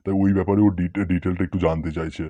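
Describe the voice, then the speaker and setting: A man voicing an excitable, comical cartoon schoolteacher with halting, stammering speech. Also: low voice, no echo, studio quality. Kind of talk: cartoon comedy dialogue.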